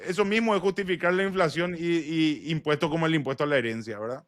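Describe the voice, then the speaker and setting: A man hosts the interview and presses his arguments. He often ends a point with a tag question to check for agreement. His voice is a bit high for a man. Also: fast talker. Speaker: podcast host. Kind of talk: conversational interview.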